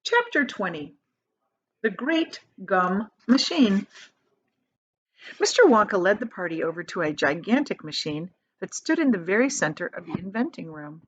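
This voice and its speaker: A woman reading a children's book aloud as an expressive storyteller. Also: medium pitch, moderate pace, husky, lo-fi sound.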